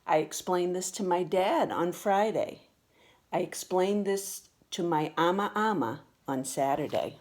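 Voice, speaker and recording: A woman narrates a children's story in a slightly low-pitched voice. Her pace is slow and deliberate, and she reads expressively, as a storyteller does with a picture book. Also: a little mellow. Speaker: storybook reader.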